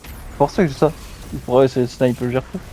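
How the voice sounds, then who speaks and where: Fast-talking young man giving tips. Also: mid-range voice, dark tone, faint music behind, rough recording. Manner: quick tips, insistent. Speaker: gamer in voice chat. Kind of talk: casual gaming chat.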